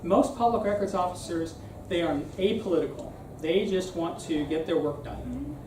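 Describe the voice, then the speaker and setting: A man's voice: sits high, normal speed. A man giving an informal public talk in a conversational, explanatory way, often checking in with "right?". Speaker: speaker giving a civic talk. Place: room at a live meeting. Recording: roomy, distant mic, clear background noise.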